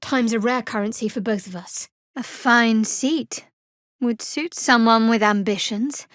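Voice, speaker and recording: A woman playing a guarded, sardonic young cleric in a fantasy video game, speaking in a measured, dry, wry way. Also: fairly high pitch, fairly bright tone, dry recording. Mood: cool, wry.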